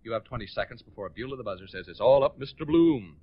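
An American man hosting a live 1940s radio game show with excitable, hurried patter from the stage. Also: medium pitch. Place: on stage before a studio audience. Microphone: stage microphone. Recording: dull 1940s radio recording.

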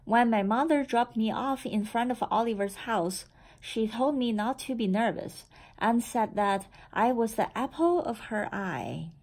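Woman, high voice, very slow pace, expressive picture-book read-aloud, children's storyteller, deliberate phrasing. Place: indoor room with framed certificates on the wall.

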